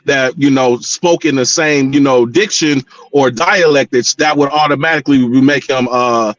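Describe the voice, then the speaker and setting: A man's voice: medium pitch, normal speed, fairly bright tone, highs cut off. A middle-aged American man talking casually as a call-in guest, rambling and repeating himself with frequent filler phrases. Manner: rambling, repeats words, frequent "you know". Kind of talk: casual discussion of personal experience. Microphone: internet call connection. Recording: band-limited online call audio.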